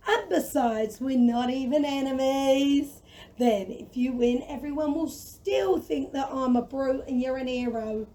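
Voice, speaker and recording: A high-pitched, timid storybook dragon voiced by a woman in a community audio play. The creature speaks expressively and reasons earnestly, with a touch of indignation. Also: darkish tone. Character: gentle, peace-loving, timid dragon reluctant to fight.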